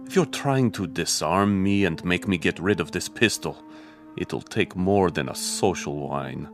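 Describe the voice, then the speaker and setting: An actor playing a weary, defiant major, a hunted defector in a radio drama, with a terse, wary, sardonic manner. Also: male voice, low voice, measured pace, faint music behind.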